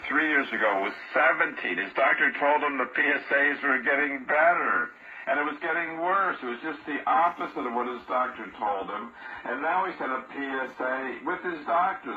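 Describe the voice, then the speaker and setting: Male radio doctor-show host, emphatic and forceful, heard through a noisy muffled receiver. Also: medium pitch, roomy sound. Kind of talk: radio health talk. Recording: muffled, noisy vintage radio playback in room.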